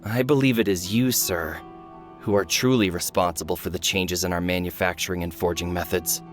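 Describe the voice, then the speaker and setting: Forge master male actor, game drama: somewhat low, grave, weary and earnest, holding back distrust. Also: steady tone, faint music behind, lightly acted. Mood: formal, sincere. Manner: grave, deliberate, restrained accusation. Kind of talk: scripted game dialogue.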